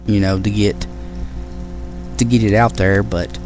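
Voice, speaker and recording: Slow, low-voiced male podcast host. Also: level tone, music in the background, rough recording. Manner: rambling, with many fillers and restarts; friendly sign-off to listeners. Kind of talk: casual solo show talk.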